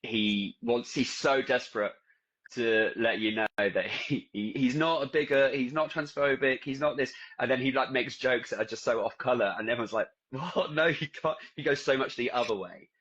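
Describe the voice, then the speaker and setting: A man interviewing a guest, halting and restarting his phrases, over a video call. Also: medium pitch, a bit bright, husky. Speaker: interviewer, journalist. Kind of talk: casual interview. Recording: dull lo-fi video call audio.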